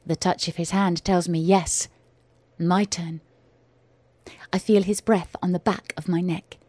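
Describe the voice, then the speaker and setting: A woman performing her own first-person audio drama, in a measured, intense delivery that often drops to a hushed whisper. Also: on the low side, fairly even intonation, no room sound. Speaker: writer and performer.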